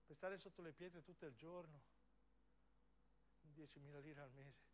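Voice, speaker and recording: A male actor's portrayal of a young southern policeman in a radio drama. He speaks very softly and intimately, with a plaintive weariness. He sounds homesick and worn down, confiding as if to his mother. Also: a little high, moderate pace, a little hiss or hum, close to an everyday voice. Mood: weary, homesick. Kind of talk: theatrical radio monologue.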